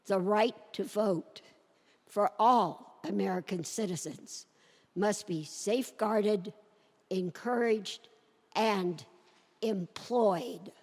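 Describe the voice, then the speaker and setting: Slow-paced woman in an echoing hall. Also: mid-range voice. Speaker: presenter introducing a readers theater performance. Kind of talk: scripted introduction read aloud. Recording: echoey hall with long reverb.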